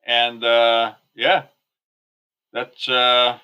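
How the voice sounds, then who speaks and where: A man's voice: medium pitch, completely flat delivery, drawn-out words, bright, forceful tone, clear, resonant tone, dull audio. A man originally from Hungary, a podcast guest talking casually with many fillers over a remote call. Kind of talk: casual interview talk.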